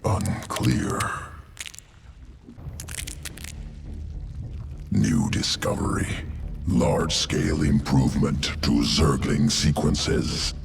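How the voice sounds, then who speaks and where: A man voicing a cold, clinical alien insectoid evolution master in a video game, with a guttural creature rasp and clipped, telegraphic, detached speech. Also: very deep voice, very animated, exaggerated voice. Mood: clinical, curious. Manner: clipped telegraphic sentences without articles, detached and deliberate. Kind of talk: video game character acting. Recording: music behind the voice.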